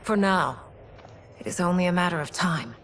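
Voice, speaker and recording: A woman playing a regal, solemn warrior queen in a video game, speaking in an earnest, breathy, measured way. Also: on the low side, lively intonation, a bit bright, slight background noise. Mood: resolute. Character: regal warrior queen, female keeper of time, solemn and wistful. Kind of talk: cinematic video game acting.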